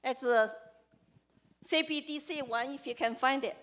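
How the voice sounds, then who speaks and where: A woman with a Chinese accent speaks to a live audience as a congressional candidate. Her voice sits high, and she delivers her points earnestly in short, emphatic bursts. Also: unhurried, fairly bright tone. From China. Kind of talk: campaign speech to a live audience.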